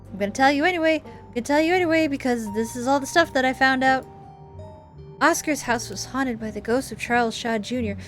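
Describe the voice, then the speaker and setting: A woman's voice: high voice, lightly acted. Woman playing an insistent, earnest young heroine.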